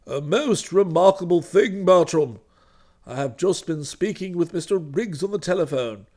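A man voicing an elderly English country gentleman in a storybook, pompous, fussy and easily indignant. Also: high-pitched, animated, normal speed, pitched below the performer's own voice. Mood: pompous, put out.

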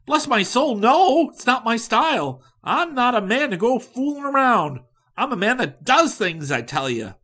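A man voicing an eager, pushy, boastful man in a hurry who talks in an excited, loud rush. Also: extremely high pitch, normal speed, pitched above the performer's own voice, exaggerated voice.

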